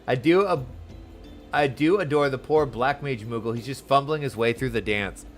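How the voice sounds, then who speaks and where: A man's voice: medium pitch, unhurried, pauses often. A man chatting casually and enthusiastically as he streams a game, with slangy, informal talk. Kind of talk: casual chat while playing a game. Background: video game music.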